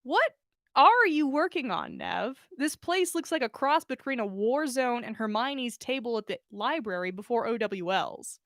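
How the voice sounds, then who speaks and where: Give me a woman doing a high, teasing young woman. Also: big pitch swings, moderate pace, fairly bright tone, no room sound, studio quality. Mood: amused, curious. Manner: teasing, informal. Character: brisk, teasing, amused young woman friend.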